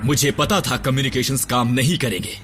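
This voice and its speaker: A man plays a gruff older robot medic from a cartoon, speaking in a serious voice as he explains things. His pitch sits in the middle. Faint music plays under him. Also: fairly even intonation, a little fast, close to an everyday voice. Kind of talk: Hindi cartoon dub.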